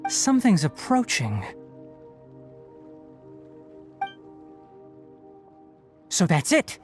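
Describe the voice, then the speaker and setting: A man's voice: high-pitched, huge pitch swings, normal speed, over loud music. A man playing a composed young scholar and forest guardian in a video game, speaking precisely and matter-of-factly. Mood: alert, commanding. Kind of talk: video game dialogue, articulate explanation.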